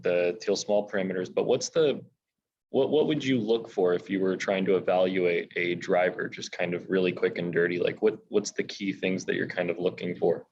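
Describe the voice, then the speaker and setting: Young man, lowish pitch, informal and tentative, dull recording with faint noise. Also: a little mellow. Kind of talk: casual question at an info session.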